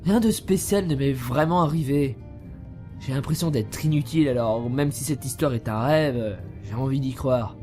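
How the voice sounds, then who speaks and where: A man's voice: mid-range voice, moderate pace, dark tone, faint music behind, close to an everyday voice. A young man playing a bewildered, easily flustered computer geek in an amateur audio drama. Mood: downcast.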